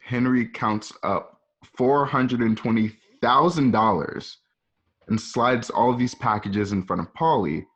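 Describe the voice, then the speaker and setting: A man's voice: mid-range voice, slow pace, fairly bright tone, husky, lo-fi sound. A man narrating scene directions informally, with casual conversational asides. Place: at home.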